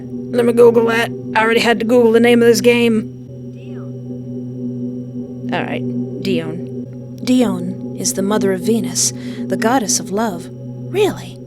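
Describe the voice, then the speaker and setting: A woman let's player reading game narration aloud, with playful, jokey casual commentary. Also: medium pitch. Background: game music.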